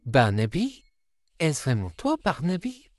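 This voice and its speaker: Woman voicing a low, gentle boy puppet. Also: wildly swooping pitch, takes it slowly, faint music behind, exaggerated voice. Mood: surprised, happy. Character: gentle boy puppet, anxious, fond of his friends. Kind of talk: comic dub voice acting.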